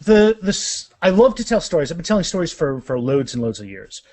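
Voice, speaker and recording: Man, middle-aged, higher voice, dry close recording, casual interview, animated storyteller, repeats phrases. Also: brisk, no room sound. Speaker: author, podcast guest.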